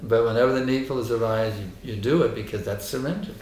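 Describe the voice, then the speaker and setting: A hoarse man giving a temple lecture and answering questions, emphatic and insistent, repeating his points. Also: medium pitch, fast, darkish tone, a little hiss or hum. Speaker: spiritual teacher giving a lecture. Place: temple hall. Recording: echoey hall.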